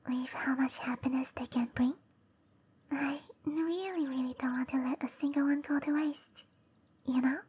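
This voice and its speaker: A woman voicing a gentle, shy cherry blossom dryad in whispery roleplay ASMR, with soft breathy speech and a tender, hesitant manner. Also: high-pitched, fairly even intonation, close to an everyday voice. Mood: gentle, earnest. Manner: slow, tender, hesitant delivery.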